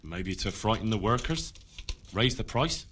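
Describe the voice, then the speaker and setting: Stubborn young medieval investigator in a game, lively swinging voice, bit of noise behind. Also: male voice, fairly low pitch, unhurried. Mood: probing, earnest. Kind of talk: video game drama acting.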